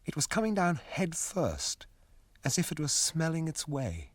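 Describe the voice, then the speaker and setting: A man's voice: a little high, wildly swooping pitch, a little slow, fairly bright tone, no echo, exaggerated voice. A man narrating a fantasy radio drama as a grave storyteller, reading scripted prose. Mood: grave, ominous.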